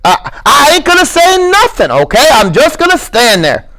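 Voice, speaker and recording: A man's voice: very high voice, wildly swooping pitch, moderate pace, bright, forceful tone, voice pushed up, heavily acted. A man voicing a stammering, childlike big farmhand. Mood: timid, pleading. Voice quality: stammering, pleading. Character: big simple-minded adult man, childlike, timid, anxious. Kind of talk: audiobook character reading.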